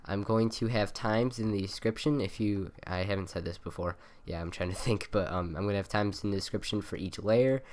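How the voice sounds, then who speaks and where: A young man with a nasal voice rambling through a game tutorial, with lots of ums and self-corrections, on a cheap desk mic. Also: deep voice. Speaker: gaming tutorial maker. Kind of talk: casual game tutorial voice-over. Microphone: cheap USB desk mic by the keyboard.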